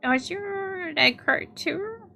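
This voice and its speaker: A woman's voice: extremely high pitch, expressive, vocal fry, music in the background, voice pushed up, full character voice. A woman voicing an innocent, affectionate little boy in a visual novel, with a hesitant, childlike delivery. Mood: hesitant, concerned. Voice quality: slight lisp, childlike. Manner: slow, hesitant, drawn-out delivery.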